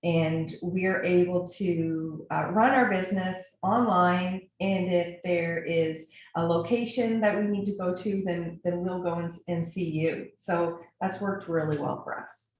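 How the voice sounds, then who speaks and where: A woman from Nova Scotia with a Canadian accent gives an informal webinar presentation in an earnest, enthusiastic way, pausing often as she goes. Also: on the low side, steady tone. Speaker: webinar presenter, brand strategist. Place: indoor echoey room, online webinar. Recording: very echoey room, faint noise.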